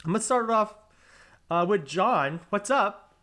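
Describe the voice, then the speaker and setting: A man's voice: high-pitched, expressive, normal speed, pushed and bright. A man co-hosting a casual podcast, chatty and giggly, asking questions and reading a plot summary aloud. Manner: giggly, reads synopsis aloud. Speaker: podcast co-host. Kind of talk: casual chat.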